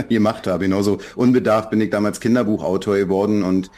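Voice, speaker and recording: A man in his forties from Berlin with a low voice talks things over in a relaxed podcast chat. He speaks thoughtfully, often pausing on fillers and starting sentences over. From Berlin, Germany. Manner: reflective, many fillers and restarts. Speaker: children's book author, guest.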